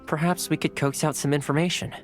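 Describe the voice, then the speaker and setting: A man's voice: on the high side, fairly even intonation, fast talker, close to an everyday voice. A man playing an easygoing, polite young retainer in an anime-style video game. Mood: thoughtful. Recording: faint music behind the voice.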